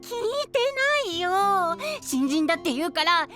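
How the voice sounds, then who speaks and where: A man voicing a timid, easily discouraged boyish young knight in an anime-style voice drama, animated and whiny. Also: moderate pace. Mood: dejected. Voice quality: high, boyish. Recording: music behind. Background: music.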